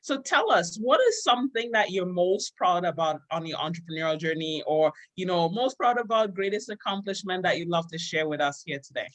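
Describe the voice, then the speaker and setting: A woman's voice: lowish voice, expressive, a little fast, few pauses, poor audio. A woman from Trinidad and Tobago hosting a podcast interview, affirming her guest and repeating words as she starts sentences. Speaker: podcast host.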